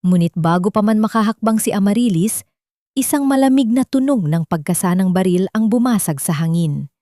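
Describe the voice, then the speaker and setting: A woman's voice: mid-range voice, expressive, fairly quick, dark tone, dry recording, clean studio sound. A woman narrator reading a scripted audio drama in a dramatic storytelling style.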